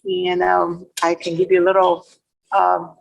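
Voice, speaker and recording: Lower-pitched middle-aged woman, Taiwanese accent. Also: completely flat delivery, gravelly, highs cut off. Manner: halting, non-native phrasing. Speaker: interview guest, nonprofit founder. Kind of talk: conversational personal storytelling.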